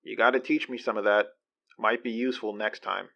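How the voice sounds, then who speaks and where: An actor playing a curious, hesitant companion in conversational audio drama, speaking haltingly. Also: mid-range voice, steady tone, vocal fry, bright, forceful tone. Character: curious, hesitant companion angling to learn supernatural craft.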